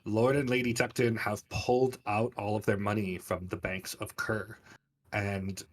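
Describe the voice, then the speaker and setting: A man's voice: lowish voice, level tone, measured pace. Game master, male, slightly distant mic. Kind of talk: narrating an online tabletop fantasy game.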